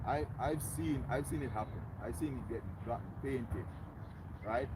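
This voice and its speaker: A young Jamaican man with a strong, carrying voice makes an earnest, rallying pitch to his neighbours at an outdoor meeting. His voice sits at a middling pitch for a man. Heavy road traffic rumbles loudly behind him. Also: unhurried. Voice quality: strong, loud voice. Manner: earnest, rallying, tags lines with "right?". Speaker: community organizer. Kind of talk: outdoor community meeting speech. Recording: loud traffic noise behind. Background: passing cars.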